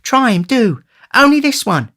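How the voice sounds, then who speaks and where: Man doing a high-voiced, fawning young woman. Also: very animated, measured pace, bright, forceful tone, full character voice. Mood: doting, coaxing. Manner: coaxing, fawning. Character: doting, coaxing young servant woman. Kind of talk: audiobook character voice.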